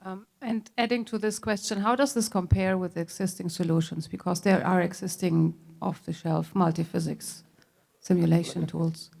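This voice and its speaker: A woman on a competition jury pressing a presenter with follow-up questions. Her voice has a strong vocal fry at a middle pitch. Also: fairly even intonation, normal speed, a little mellow. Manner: probing, questioning. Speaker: jury member.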